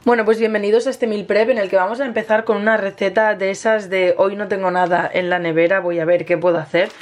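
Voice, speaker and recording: A woman in her thirties with a Spain Spanish accent, slightly hoarse from a cold, casually narrating a recipe as she cooks in her home kitchen. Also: mid-range voice, flat delivery, fast talker, music in the background. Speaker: home cooking vlogger. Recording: noisy kitchen with sizzling pan. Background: frying and stirring sounds.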